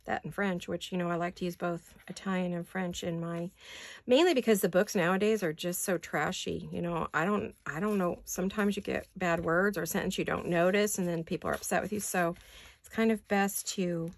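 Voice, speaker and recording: An older woman with a raspy voice chatting casually in her home craft studio, rambling and thinking aloud as she works. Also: on the low side, steady tone, brisk, no room sound. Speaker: craft vlogger. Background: neighborhood garbage-day banging.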